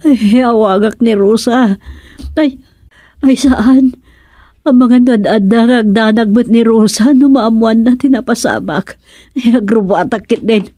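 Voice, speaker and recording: A woman's voice: a little high, unhurried, dark tone, no echo, studio quality. An actress with an Ilocano accent playing a fussy, anxious middle-aged mother-in-law in a radio comedy drama. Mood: flustered. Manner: exclaiming, fussing.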